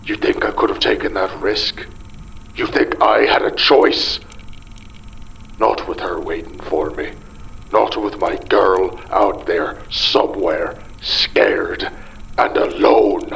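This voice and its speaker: A man playing a desperate, guilt-ridden father losing his mind, in a dramatic horror audio monologue with a gravelly, breathy voice that often drops toward a whisper. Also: deep voice, fairly bright tone, a little hiss or hum, heavily acted, rough recording. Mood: desperate, defensive. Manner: slow, brooding, halting delivery in short phrases. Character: desperate, guilt-ridden father turning mad in a ruined city.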